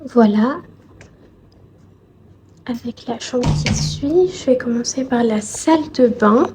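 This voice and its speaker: A woman narrating a casual travel vlog in a polite, soft-spoken, descriptive way. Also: a little high, slow, music in the background. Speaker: vlogger.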